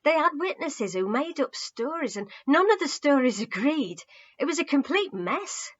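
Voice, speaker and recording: A woman voicing a distraught young man, emotional, emphatic and halting, in a story reading with muffled sound. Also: high-pitched, big pitch swings, pitched above the performer's own voice. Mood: indignant. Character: distraught young male follower recounting a betrayal.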